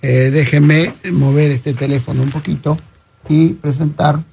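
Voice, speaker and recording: A man's voice: a little high, steady tone, measured pace, gravelly, a little mellow, a little hiss or hum. A man hosting a casual interview in Spanish, talking with many hesitations and fillers. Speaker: podcast host. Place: studio with phone on table.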